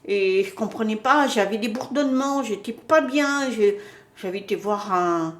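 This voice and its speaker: A woman's voice: medium pitch, normal speed, roomy sound. A woman giving a casual spiritual talk to her viewers, hesitant, with many fillers and restarts. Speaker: spiritual channel host.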